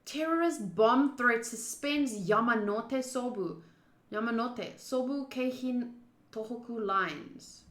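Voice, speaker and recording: A woman livestreaming a visual novel playthrough, switching between casual chat and expressive reading of the game text aloud. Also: on the high side, takes it slowly, reverberant. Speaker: streamer playing a visual novel.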